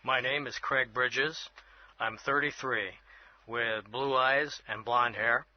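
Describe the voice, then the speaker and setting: A man in his thirties acting an earnest reporter introducing himself slowly. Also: mid-range voice, steady tone, natural voice. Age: 30s. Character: earnest male reporter and music lover. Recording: dull lo-fi audio.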